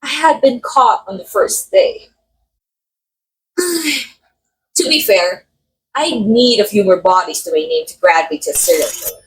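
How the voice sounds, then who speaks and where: A woman's voice: mid-range voice, animated, a little slow, pauses often, a little hiss or hum, close to an everyday voice, poor audio. A woman playing a vain, murderous method actress in an audio roleplay, coy, theatrical and condescending, speaking straight to the listener. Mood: coyly menacing. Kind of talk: ASMR roleplay acting, addressing the listener.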